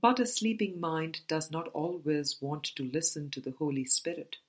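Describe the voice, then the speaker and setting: A woman reading a spiritual book aloud as scripted narration, in a measured, deliberate manner with pauses between phrases. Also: low-pitched, animated, husky. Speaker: audiobook reader.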